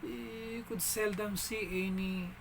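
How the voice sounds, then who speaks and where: A man with a Filipino accent telling a story in a casual vlog, halting, with frequent restarts and fillers. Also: high-pitched, fairly even intonation, gravelly, background noise. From Philippines.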